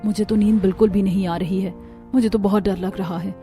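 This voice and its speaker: A woman's voice: mid-range voice, fairly even intonation, brisk, mellow, soft background music, natural voice. A woman voicing an uneasy, earnest village housewife worried for her family in a cartoon story. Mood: anxious. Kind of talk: animated Hindi cartoon story.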